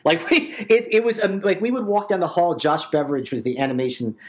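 A man's voice: sits high, brisk. A man in his forties from New York, an interview guest talking casually and eagerly, rambling with lots of fillers and restarts. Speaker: interview guest, visual effects artist.

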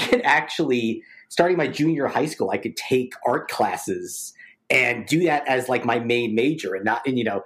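Man, 40s, from new york, podcast guest, eager, rambling, filler-heavy, mid-pitched. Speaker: interview guest, visual effects artist. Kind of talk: casual interview chat.

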